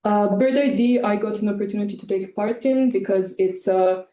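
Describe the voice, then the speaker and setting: A woman whose first language is Serbian, presenting her volunteer work haltingly with frequent fillers. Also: mid-range voice, mellow, reverberant. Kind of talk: presentation talk.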